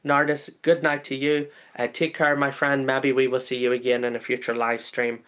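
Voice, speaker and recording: A man's voice: medium pitch, level tone, moderate pace, fairly bright tone, clear, resonant tone. Chatty male streamer greeting viewers. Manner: many ums and uhs, rambling. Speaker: live stream host. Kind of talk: casual live stream chat.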